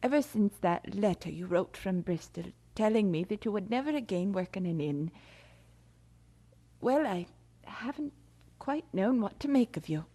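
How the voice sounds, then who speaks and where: An actress playing a fond, worrying middle-aged mother in an audio drama, speaking tenderly and deliberately. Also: mid-range voice, animated, mellow. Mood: tender, worried.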